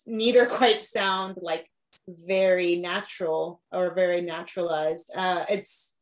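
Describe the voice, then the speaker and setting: A woman's voice: on the low side, fairly even intonation, slow pace, rough recording. A woman in casual conversation, speaking in a reflective, loose way with frequent fillers. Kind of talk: casual discussion of language learning.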